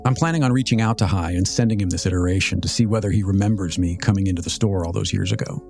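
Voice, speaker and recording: A man in his fifties with an American accent, telling a scripted personal story as a podcast host in a measured, reflective, articulate way. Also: sits low, creaky, a little mellow. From Southern California, United States. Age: 50s. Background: music.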